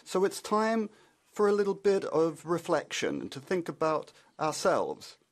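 A man simultaneously interpreting a parliamentary speech, halting with frequent fillers and then pressing on in quick bursts. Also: on the high side, wildly swooping pitch.

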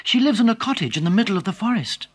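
A man's voice: sits high, sing-song, quick pace, husky, voice pushed up, full character voice. A man voicing an eager, polite little girl in a storybook reading.

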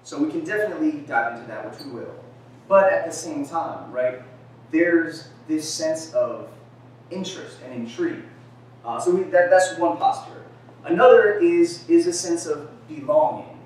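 Guy giving a talk, kinda high voice, lots of "right?" and "uh", echoey room, mic far off. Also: normal speed, slight background noise. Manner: conversational, frequent "right?" and "uh" fillers. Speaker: presenter. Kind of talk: lecture presentation. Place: gallery-like room with a screen.

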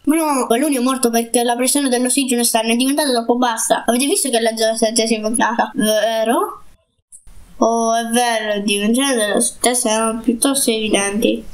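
A woman's voice: a little high, steady tone, fairly quick, close to an everyday voice. A woman in a cartoon fan dub voicing talkative, suspicious object crewmates. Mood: explaining. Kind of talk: animated fan-dub acting. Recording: music playing behind the dialogue.

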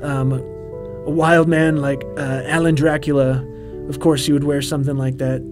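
A man in his thirties giving a rambling, relaxed behind-the-scenes commentary with quaintly formal turns of phrase, full of ums and uhs. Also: on the high side, measured pace. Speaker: puppeteer and co-host of a commentary track. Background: faint background music.

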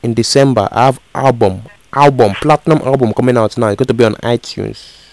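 A man acts out a bumbling, persistent uncle making a comic prank call. He misunderstands everything and repeats himself insistently. His pitch is average and the recording has clear background noise. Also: close to an everyday voice. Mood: earnest, confused. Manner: repetitive, slow on the uptake, misunderstands on purpose. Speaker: prank caller.

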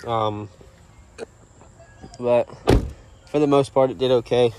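A man's voice: medium pitch, fairly even intonation, slow. Plain-spoken young man, faint background noise. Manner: plain-spoken, rambling, swears casually. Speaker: car vlogger. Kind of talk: casual unscripted vehicle walkaround. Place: outdoors in a driveway and at open car door. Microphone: handheld phone. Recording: outdoor handheld recording, ambient noise.

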